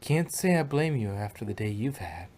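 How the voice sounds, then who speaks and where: A man's voice: medium pitch, sing-song, normal speed, darkish tone. A man voicing an earnest, friendly young female unicorn pony in a fan fiction reading.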